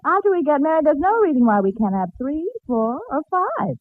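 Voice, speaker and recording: An actress playing an affectionate, doting young sweetheart in a 1940s radio comedy, with tender theatrical delivery. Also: female voice, high-pitched, sing-song, brisk. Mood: tender, loving. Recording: scratchy, tinny old-time radio recording.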